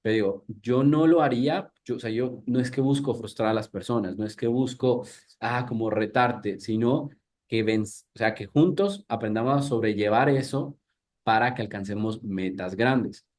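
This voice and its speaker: A man in his thirties, originally from Ecuador, leads an English-learning workshop. He talks loosely and conversationally, restarting sentences and pausing on fillers, and often turns to the audience with questions. His pitch sits in an ordinary middle range for a man. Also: a little mellow. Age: 30s. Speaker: English teacher. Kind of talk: live workshop talk.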